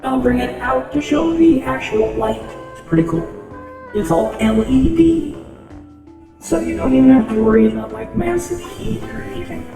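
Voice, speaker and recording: A man's voice: very high-pitched, lively intonation, moderate pace, echoey room, in a large hall, distant mic, music in the background. A male vlogger chatting casually, his voice run through a blocky voice changer filter. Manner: rambling, chatty. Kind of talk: casual chat.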